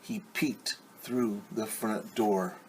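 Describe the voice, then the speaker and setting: A man who is a teacher reading a picture book aloud to young children, pausing to explain things simply. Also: on the low side, animated, darkish tone, a little hiss or hum. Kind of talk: children's storytime read-aloud with asides.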